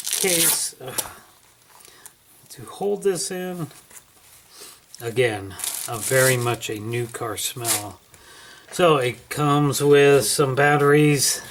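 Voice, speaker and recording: A man narrating a casual hands-on unboxing at home, thinking aloud in a folksy, unhurried way. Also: mid-range voice, noisy background. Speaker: amateur product reviewer. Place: indoors at home.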